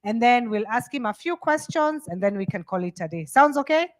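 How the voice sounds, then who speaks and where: A woman moderating a student session, briskly introducing the guest speaker. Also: fairly high pitch, animated.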